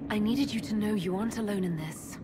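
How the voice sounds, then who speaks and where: A woman's voice: medium pitch, fairly quick, close to an everyday voice. An actress playing an earnest, composed female leader in a video game, direct and sincere. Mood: earnest, consoling. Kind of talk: dramatic video game acting. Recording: game capture with clear background noise.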